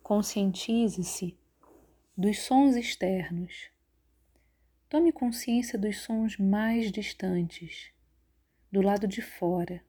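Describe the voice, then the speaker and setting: A woman leading a guided yoga nidra relaxation in a calm, measured, instructive way. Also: mid-range voice, husky. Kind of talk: guided relaxation meditation narration.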